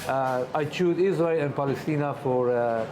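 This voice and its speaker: A man from Iran, speaking halting English in a news report interview and pausing to search for words. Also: mid-range voice.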